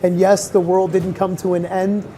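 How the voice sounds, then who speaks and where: A woman moderating a live panel discussion, talking quickly and casually with fillers. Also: on the low side, little pitch movement, dark tone.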